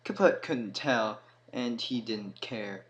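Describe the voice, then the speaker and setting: A man reads a fantasy tale as a dramatic narrator. He takes his time, swelling on some words and dropping low on others, in a middling register. There is audible noise behind the voice. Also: expressive, slow pace. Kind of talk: scripted story narration, fantasy audio tale. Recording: clear background noise.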